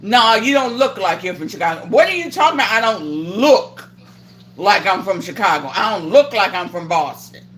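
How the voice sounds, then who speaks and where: A woman hosting a livestream chat, her pitch swinging widely as she rants. She swears freely and is openly indignant. She slips into other people's voices to act out the stories she tells. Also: big pitch swings, moderate pace, fairly bright tone, slight background noise. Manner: profane, indignant, acts out quoted voices. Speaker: livestream host. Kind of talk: heated political chat on a livestream.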